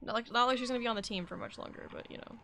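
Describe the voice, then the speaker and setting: A woman game streamer commenting casually on play. Her voice is bright and forceful with a gravelly fry at the edges, over faint background noise. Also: on the high side, very fast.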